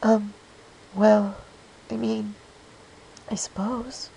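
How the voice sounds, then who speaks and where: Guy doing a shy little girl pony, high n soft, stammery, faint noise. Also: flat delivery, very slow, creaky, heavily acted, rough recording. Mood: timid, hesitant. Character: painfully shy, timid young female winged pony. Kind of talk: storybook character voice in fan fiction reading.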